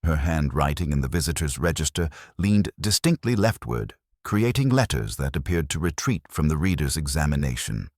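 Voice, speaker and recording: A man's voice: very deep voice, big pitch swings, moderate pace, few pauses, darkish tone, no room sound. A man narrating a scripted mystery story in a formal, dry, wry manner.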